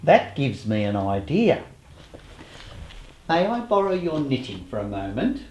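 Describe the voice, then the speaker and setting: A man's voice: medium pitch, big pitch swings, a little slow, darkish tone, reverberant, rough recording. A man playing a scheming, indignant radio actor in a comic radio play. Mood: slyly scheming.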